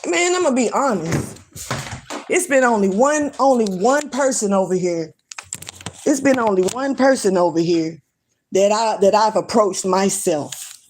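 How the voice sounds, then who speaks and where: A woman's voice: mid-range voice, expressive, unhurried, darkish tone, slight background noise. A woman, ranting bluntly and swearing freely in a casual livestream. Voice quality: strong voice. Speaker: livestream vlogger. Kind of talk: casual rant to camera.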